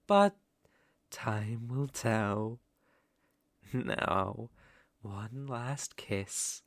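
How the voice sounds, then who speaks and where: A man's voice: mid-range voice, drawn-out words, fairly bright tone, no room sound. A British man playing a suave, possessive vampire count in an intimate ASMR roleplay, teasing and commanding in theatrical, old-fashioned phrasing. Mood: seductive, smug.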